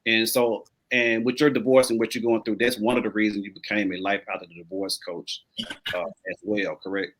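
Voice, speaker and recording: Male host, life coach, lower voice, casual and affirming with guests. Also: little pitch movement, a little fast, a bit bright, slight background noise, low-quality recording. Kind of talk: casual interview.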